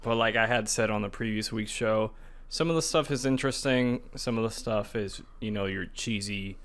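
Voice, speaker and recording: A man's voice: on the low side, normal speed, a bit bright. A man co-hosting a podcast, chatting casually in a hesitant, loosely rambling way. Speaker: podcast co-host. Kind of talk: casual chat about video games.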